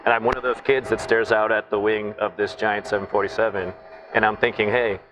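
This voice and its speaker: A middle-aged man who grew up in Southern California, calmly explaining technical ideas in an interview and often checking in with "right?". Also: fairly low pitch, fairly quick, poor audio. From Taiwan, raised in Southern California. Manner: thoughtful, explanatory, with frequent "right?" tags. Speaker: naval flight test officer, guest. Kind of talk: interview conversation.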